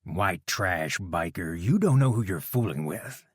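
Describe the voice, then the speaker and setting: A man's voice: low-pitched, lively intonation, a little slow, husky, no echo, studio quality, heavily acted. A man voicing a hostile railroad security guard who snarls his threats. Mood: menacing.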